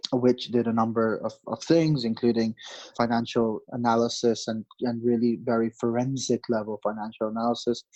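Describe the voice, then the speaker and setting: A man's voice: mid-range voice, warm tone, highs cut off. A man in his late thirties from Pakistan, a market strategist answering interview questions in an explanatory way, with frequent restarts and fillers.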